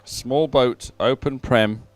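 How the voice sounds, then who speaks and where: A man giving live sports commentary in a chatty, somewhat halting announcing style. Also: medium pitch, fairly even intonation, no echo, faint music behind.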